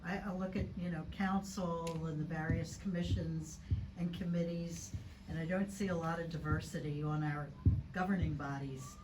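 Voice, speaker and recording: A woman's voice: low voice, steady tone, moderate pace, distant mic, background noise. A woman in the audience asking a long, hesitant question with several restarts.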